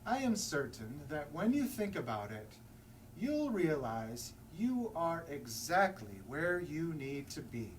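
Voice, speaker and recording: A man's voice: fairly high pitch, big pitch swings, slow. A man voicing a wise, kindly walrus who gives lofty, formal counsel in a children's storybook. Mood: solemn, soothing. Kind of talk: picture-book read-aloud for children. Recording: distant mic, faint background noise.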